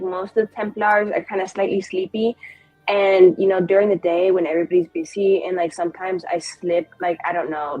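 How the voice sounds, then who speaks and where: A woman's voice: mid-range voice, breathy edge, poor audio. A woman playing a tabletop roleplaying game, improvising in character in a playful, informal way. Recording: background music.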